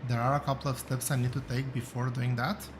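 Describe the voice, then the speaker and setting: A man with a rough voice, thinking aloud as he hosts a relaxed gameplay commentary, with many fillers and pauses. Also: mid-range voice, background noise. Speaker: gaming channel host.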